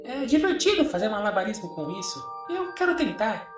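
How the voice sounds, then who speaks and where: A high, swooping voice of a sinister little creature, echoing from a distance over music. It coaxes like a persuasive imaginary playmate in a horror audio story. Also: male voice, big pitch swings, a little fast. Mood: eager coaxing. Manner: coaxing, persuasive. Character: Mr. Wide Mouth, sinister childlike imaginary-friend creature, coaxing.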